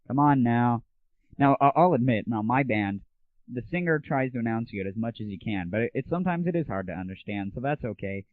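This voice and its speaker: A man's voice: medium pitch, lively intonation, fairly quick, no room sound. Tinny phone-like audio, dry close mic, man, blunt irreverent show host, casual opinionated ranting, exasperated. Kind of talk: casual opinionated gaming and music rant.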